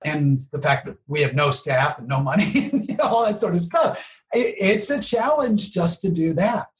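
A man explaining things conversationally as an interview guest, emphatic and often checking in with a quick "okay?" or "right?". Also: fairly high pitch, expressive. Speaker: podcast guest, marketing professional.

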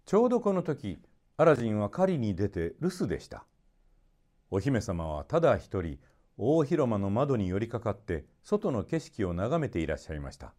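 A man narrating a children's story expressively, in a polite and measured storytelling manner. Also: fairly low pitch, sing-song, a little mellow.

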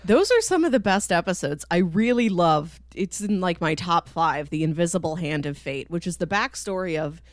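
A woman's voice: lowish voice, animated, moderate pace, hardly any pauses, no echo, studio quality. A woman hosting a podcast, talking casually and explaining with enthusiasm about a series she enjoys. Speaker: podcast host.

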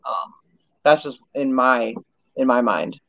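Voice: mid-range voice, animated, slow, gravelly, fairly bright tone, dry recording, muffled recording. Earnest, rambling co-host. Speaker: podcast co-host. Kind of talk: casual chat.

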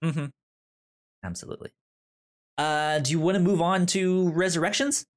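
A man's voice: fairly high pitch, moderate pace. Stammering man on a podcast call. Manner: stammering, many restarts. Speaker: podcast co-host. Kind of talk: casual film discussion podcast. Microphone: remote call.

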